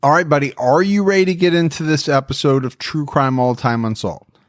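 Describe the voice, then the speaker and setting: A man hosting a true crime podcast, telling the story in a relaxed, friendly way and chatting with his co-host. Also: a little high, a little fast, dry recording, studio quality.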